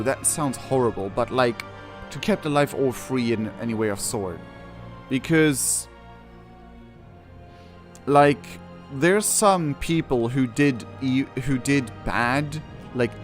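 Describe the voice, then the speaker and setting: A man streaming a game, reading quest text aloud and reacting casually, with excitable exclamations and hesitant, self-correcting commentary. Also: mid-range voice. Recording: music behind the voice. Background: game music.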